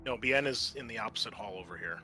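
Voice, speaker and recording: A man's voice: lowish voice, lively intonation, fast talker, sharp tone, faint music behind. A man narrating a tabletop roleplaying game as its game master, addressing the players.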